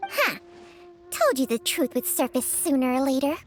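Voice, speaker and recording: A sly, very high snake spirit. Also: female voice, big pitch swings, normal speed, soft background music. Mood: knowing.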